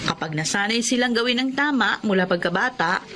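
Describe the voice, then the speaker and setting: A woman playing an earnest older Filipino mother who tells her life story in a measured, heartfelt radio drama delivery. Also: on the high side, lively intonation, background noise, natural voice. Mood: earnest advising. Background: music under the voice.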